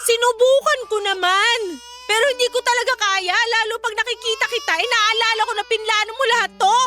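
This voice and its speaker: A woman's voice: very high voice, fairly even intonation, a little fast, bright, forceful tone, faint music behind. A Filipino woman playing a resentful young mother in a radio drama, curt, sarcastic and confrontational. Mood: angry, resentful. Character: bitter, resentful young mother rejecting her baby and partner.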